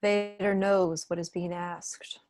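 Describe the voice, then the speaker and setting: Low-voiced woman narrating a script. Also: level tone, slow pace, a little mellow, no room sound, studio quality, soft background music. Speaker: narrator reading stage directions. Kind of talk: scripted narration at a table read. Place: indoor room at home, video call.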